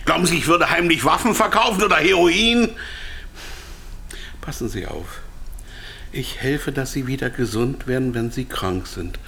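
A man's voice: medium pitch, moderate pace, gravelly, pauses often, bright, forceful tone. An actor playing a frightened, exasperated captive businessman who pleads and insists in a radio drama. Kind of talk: radio play acting, narrated dialogue.

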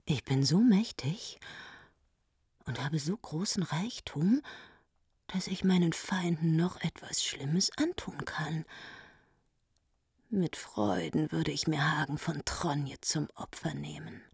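A vengeful widowed queen in a husky, gravelly whisper, slow and secretive. Dry, close studio sound for a radio drama. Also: sits low, darkish tone, no room sound, heavily acted. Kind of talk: radio play acting.

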